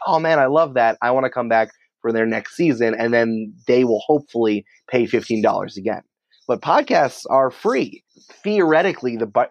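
A man's voice: mid-range voice, no room sound, highs cut off. A man speaking as an interview guest in a casual, chatty, explanatory way, often dropping in "like". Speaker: interview guest, podcast company creative head.

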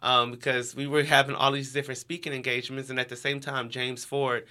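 Bright-voiced young American man, casual. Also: mid-range voice, level tone, fairly quick. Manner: informal, heavy use of "like". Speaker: interview guest, education nonprofit co-founder. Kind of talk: casual conversational interview.

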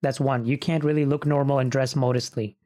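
A man's voice: mid-range voice, fairly even intonation, mellow. Man hosting a podcast, scripted. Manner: measured, reads from a script. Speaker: podcast host.

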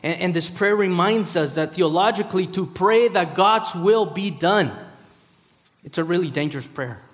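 A man in his thirties, from Costa Rica, preaching a sermon after a chatty personal introduction, with frequent fillers and restarts. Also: high-pitched. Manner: halting, many fillers and restarts. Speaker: guest preacher. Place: large hall. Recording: hall reverb.

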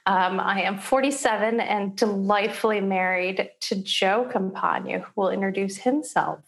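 Relaxed amused woman in her forties. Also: medium pitch, moderate pace, gravelly. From Michigan, USA. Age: 40s. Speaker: podcast guest. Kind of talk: casual chat on a video call.

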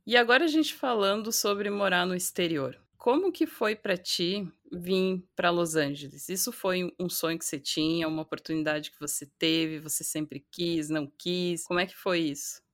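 A woman's voice: fairly low pitch, normal speed, hardly any pauses, fairly bright tone, no echo, clean studio sound. A woman from the Rio Grande do Sul countryside with a light gaúcho accent mixed with Porto Alegre speech, talking reflectively in a casual conversation. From southern Brazil, Rio Grande do Sul countryside.

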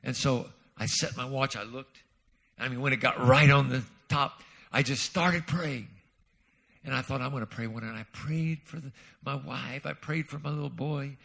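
A man preaching as a guest speaker, telling a personal story in an animated way and swinging between quiet asides and loud outbursts. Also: mid-range voice, normal speed, gravelly. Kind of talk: sermon storytelling.

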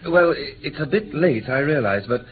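Smooth English male villain with courteous manners played by a man, old radio drama, phone-like sound, clear background noise. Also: a little high, animated, normal speed, lightly acted. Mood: polite, hesitant. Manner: politely hesitant turning coolly threatening. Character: smooth, menacing male kidnapper posing as a polite gentleman. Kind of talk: mid-20th-century British radio play acting. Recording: noisy, tinny old radio broadcast.

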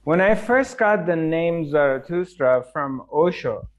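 A man with a slightly raised voice talks slowly and thoughtfully, stopping often to find his words. He answers questions about meditation over a video call. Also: fairly high pitch, animated. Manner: slow, reflective, hesitant with many fillers. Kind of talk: casual spiritual Q&A.

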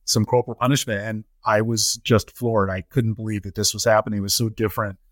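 A middle-aged man from the United States and Trinidad, telling his personal story as an interview guest in measured phrases. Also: on the low side, flat delivery, no room sound. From United States (Colorado) and Trinidad. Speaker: interview guest, nonprofit chief executive.

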